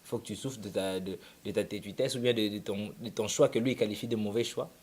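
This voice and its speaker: A man's voice: fairly low pitch, fast talker, husky. A young man from Congo-Kinshasa, a musician telling his story in a casual, rambling way, often restarting his sentences. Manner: relaxed, rambling, with many restarts. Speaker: musician guest. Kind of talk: casual interview, mixing French and Lingala.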